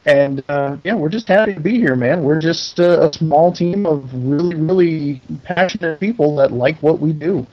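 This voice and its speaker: A man's voice: fairly high pitch, moderate pace, hardly any pauses, dull audio, soft background music. A middle-aged man giving a casual interview as a guest, talking in a folksy, self-deprecating and enthusiastic way. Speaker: interview guest, pinball company owner.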